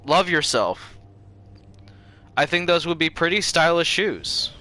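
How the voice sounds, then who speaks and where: A man's voice: a little high, expressive, slow pace, bright, forceful tone. A man chatting casually while livestreaming a game, rambling and circling back over his own sentences. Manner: rambling, easily distracted, repeats himself.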